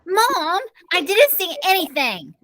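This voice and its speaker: A woman's voice: very high voice, sing-song, slow, a bit bright, slight background noise, pitched above the performer's own voice, full character voice. A woman playing a bratty young girl in a children's story, whiny, taunting and dramatic. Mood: whiny, indignant. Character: bratty sneering young sister, whiny and indignant.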